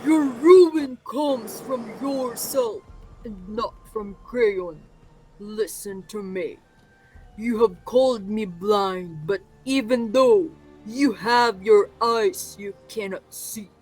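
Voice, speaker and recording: Slow, ominous blind prophet, acted by a student performer. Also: medium pitch, a little mellow, husky, soft background music, low-quality recording. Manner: deliberate, ominous. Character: blind prophet, grave, defiant, foreboding. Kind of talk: stage tragedy, readers' theatre.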